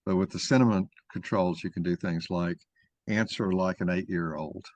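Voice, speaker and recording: A man moderating an informal discussion, talking in a halting, rambling way as he thinks aloud. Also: low-pitched, fairly even intonation, darkish tone. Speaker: moderator of an online mock trial.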